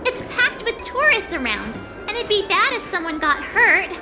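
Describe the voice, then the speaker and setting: A woman's voice: very high voice, big pitch swings, moderate pace, over loud music, heavily acted. An actress playing a plucky, confident young rookie swordswoman in a video game, spirited, cheeky and defiant. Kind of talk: animated video game voice acting.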